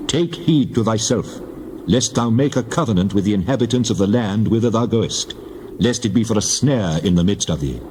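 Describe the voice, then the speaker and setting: A male actor plays God in a scripture drama, a solemn and commanding divine voice. The voice sits fairly low and speaks in measured declarations, with faint background noise around it. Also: lively intonation, darkish tone, lightly acted.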